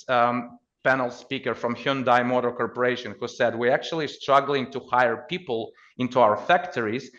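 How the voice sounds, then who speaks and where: A man with a Ukrainian accent giving conversational expert commentary as a podcast guest, in halting phrases with repeated words. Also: mid-range voice, fairly bright tone, dull audio.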